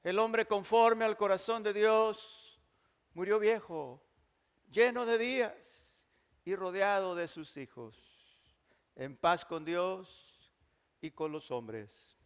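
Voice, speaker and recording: An older male pastor with a high-pitched voice preaches a sermon, moving from loud, forceful declarations to quiet, slow, drawn-out phrases. His voice rings out with a long echo in a large church hall. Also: expressive, clean tone. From Mexico. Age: 60s.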